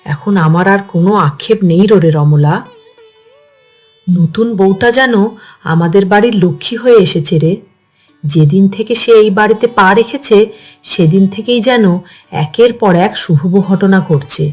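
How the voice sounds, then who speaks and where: A woman's voice: medium pitch, expressive, normal speed, darkish tone, soft background music, lightly acted. A woman voicing a gossipy, scheming older grandmother in a cartoon story, with a chatty, pushy, persuasive manner. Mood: proud, gleeful. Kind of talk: Bengali cartoon story dialogue.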